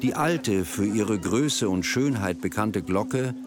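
A man reading a scripted, descriptive radio narration in a measured storytelling manner. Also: fairly low pitch, animated, a crowd in the background. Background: music.

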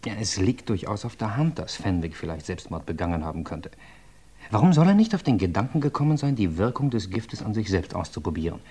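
An actor playing a lawyer who reasons aloud in a measured, deliberate way in an old radio play. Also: male voice, on the low side, big pitch swings, warm tone, slightly rough. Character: thoughtful middle-aged male lawyer sleuth, measured.

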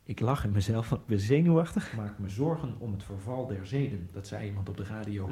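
Actor voicing an immigrant man, gravelly, mellow, hushed, ironic, first-person radio drama. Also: medium pitch, natural voice. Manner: hushed, brooding inner monologue. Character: Egyptian immigrant man, nervous and wryly ironic. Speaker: narrator.

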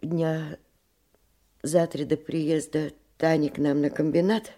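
A slow-spoken, anxious middle-aged provincial woman. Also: low-pitched, flat delivery, dark tone, exaggerated voice. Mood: troubled, halting. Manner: hesitant, halting. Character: anxious, grieving provincial woman with a grown son. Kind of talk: naturalistic radio play acting.

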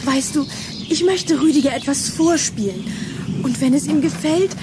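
Woman acting a high-voiced, eager schoolgirl. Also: normal speed, reverberant, noisy background, natural voice. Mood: playful, proud. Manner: insistent, giggly, showy. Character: eager, pushy schoolgirl keen on vampire films. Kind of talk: German children's radio play acting.

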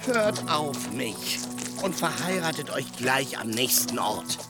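A man's voice: medium pitch, big pitch swings, slow, loud music behind the voice. A man playing a simple, chatty peasant squire in a radio play, stammering and hedging in blunt, earthy talk. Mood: exasperated. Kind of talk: German radio play acting.

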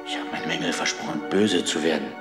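A man's voice: very deep voice, steady tone, fast talker. An actor playing a menacing, condescending man who makes demands of a girl in a German radio drama. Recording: echoey room, loud music underneath. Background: loud music.

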